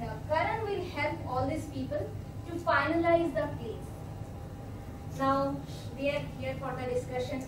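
A young woman runs a workshop, explaining a group game to volunteers with patient, filler-heavy phrasing. Her high voice comes from across a large meeting room. The sound is roomy and distant, with steady background noise. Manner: speaks slowly with many fillers, explaining patiently. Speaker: meetup facilitator. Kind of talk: workshop hosting. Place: hotel meeting room, indoors. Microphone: far camera. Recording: echoey room from far off, noisy.

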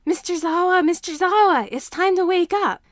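A woman voicing a small, excitable, earnest daycare child in a story. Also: very high-pitched, moderate pace, dry recording, studio quality, voice pushed up. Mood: urgent.

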